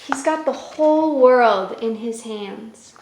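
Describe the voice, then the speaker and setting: A woman's voice: fairly high pitch, slow, darkish tone, slight background noise. A woman hosting a children's story time, reading aloud and explaining in a simple way. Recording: roomy echo with music.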